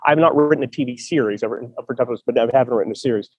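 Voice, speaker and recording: A man's voice: medium pitch, rapid-fire, a little mellow, breathy edge, no room sound, dull audio. A man hosting a casual chat about writing, talking loosely with frequent laughs and restarts. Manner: chatty, laughs often, restarts sentences. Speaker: podcast host.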